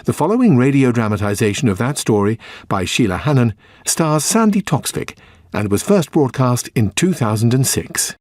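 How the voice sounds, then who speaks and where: A man's voice: mid-range voice, big pitch swings, normal speed, a little mellow, no echo, studio quality. A man giving a scripted radio introduction as announcer.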